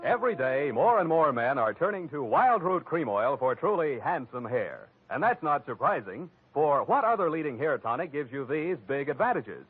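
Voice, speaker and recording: A man's voice: a little high, very animated, moderate pace, hardly any pauses, slight background noise. A man reading a scripted 1940s radio advertisement as an announcer, with an emphatic sales delivery. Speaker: commercial announcer. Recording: muffled old radio recording.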